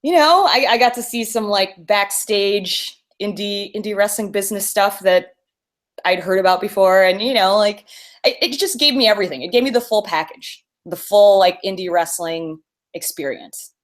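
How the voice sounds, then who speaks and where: An American woman co-hosting a podcast, telling anecdotes in a casual, animated way and laughing easily as she talks. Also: mid-range voice, normal speed. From born in Mobile, Alabama; grew up on the US East Coast. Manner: animated storytelling, laughs easily while talking. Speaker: podcast co-host.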